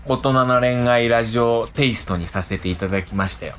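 A man hosting a radio show in casual, conversational chat, good-natured and quick to protest. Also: mid-range voice, fairly bright tone, faint music behind.

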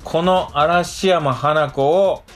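A man hosting a casual podcast chat, explaining things in a hesitant, halting way with lots of softeners. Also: on the high side, faint music behind. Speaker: radio show host.

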